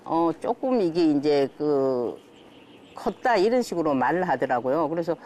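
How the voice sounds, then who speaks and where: A woman giving an unscripted interview, recounting things in a halting, plain conversational way. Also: low voice, sing-song, dark tone, slight background noise. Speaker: patient interviewee.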